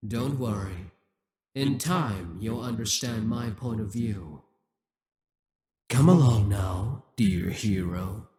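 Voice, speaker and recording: A man's voice: lowish voice, takes it slowly, a crowd in the background. A man voicing a possessive, scheming male demon king in an audio roleplay, speaking in a mocking, menacing way.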